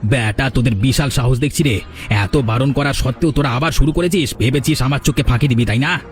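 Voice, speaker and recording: A man's voice: mid-range voice, fairly quick, lightly acted. Guy doing a theatrical scheming village bully for a cartoon, blustery, music behind him. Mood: menacing. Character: scheming male village bully, threatening, later grovelling for mercy. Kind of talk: cartoon voice acting in a village drama.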